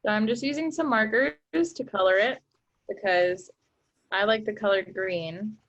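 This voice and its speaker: A woman's voice: mid-range voice, unhurried, a bit bright, highs cut off. Upbeat young woman demonstrating a craft. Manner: upbeat, explains steps while working. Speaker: workshop presenter.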